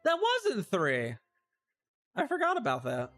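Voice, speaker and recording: A man's voice: high-pitched, huge pitch swings, unhurried, a bit bright, faint music behind. A man livestreaming casual game commentary, musing and reacting aloud in loose fragments.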